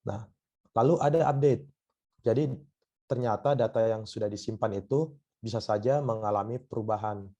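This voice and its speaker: A hoarse man with a cough, giving a university lecture online and often pausing on filler sounds. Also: on the low side, warm tone, dull audio. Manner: hesitant, many filler sounds.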